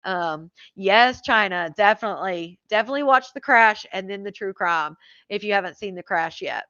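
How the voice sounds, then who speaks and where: A woman's voice: mid-range voice, expressive, moderate pace, fairly bright tone, dry recording. A woman hosting a livestream, talking loosely with her viewers and answering their comments as they come in. Her voice sounds a little worn after hours on air. The audio is dry and slightly dull, like a home streaming mic. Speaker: live stream host. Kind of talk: casual chat with live viewers.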